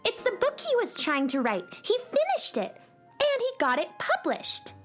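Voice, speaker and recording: Very high voice, wildly animated, perky young space cowgirl, gushing, children's radio play. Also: normal speed, faint music behind, exaggerated voice. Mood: excited. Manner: gushing, wide-eyed enthusiasm. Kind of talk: children's radio drama acting.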